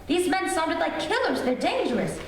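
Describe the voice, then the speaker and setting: An actress plays an anxious, bossy invalid wife in an old-time radio thriller. Her voice is high and fussy, full of indignation. It comes from far off in a very echoey space with faint noise. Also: sing-song, normal speed, exaggerated voice. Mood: urgent, alarmed. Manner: imperious, agitated, fussy. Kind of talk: old-time radio drama stage acting.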